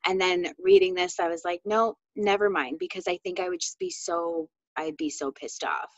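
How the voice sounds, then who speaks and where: A woman's voice: lowish voice, moderate pace, no room sound, highs cut off. A woman co-hosting a book discussion in a casual, candid, conversational way, often peppering her talk with "like". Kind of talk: casual chat.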